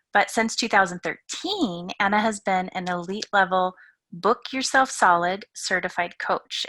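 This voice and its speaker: A woman's voice: medium pitch, pushed and bright. A woman, hosting an interview with introductions and questions. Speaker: interview host.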